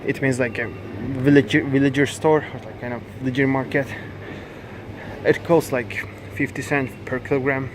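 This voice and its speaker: Young man, Turkish accent, halting speech, lots of "like", phone mic, noisy store behind. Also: medium pitch, steady tone. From Turkey. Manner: halting, many fillers and self-corrections. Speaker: vlogger. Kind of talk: casual walk-through vlog. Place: large supermarket indoors. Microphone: handheld phone camera. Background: store ambience.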